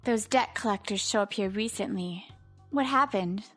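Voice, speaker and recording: A woman's voice: medium pitch, normal speed, fairly bright tone, dry recording, close to an everyday voice. A woman voicing a bossy but caring teenage delinquent girl in a manga comic dub, casual, blunt and teasing. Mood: breezy teasing. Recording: background music under the voice.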